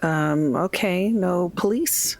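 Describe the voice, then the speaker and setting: Woman, noticeable vocal fry, casual game chat, tabletop player. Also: lowish voice, slow pace, darkish tone.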